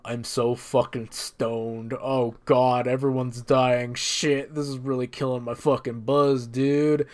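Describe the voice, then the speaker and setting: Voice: mid-range voice, little pitch movement, slow, few pauses, a little mellow, lightly acted. A person voicing a dazed, laid-back stoner dude, drawling and slangy, in a casual game recap. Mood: dazed, bummed.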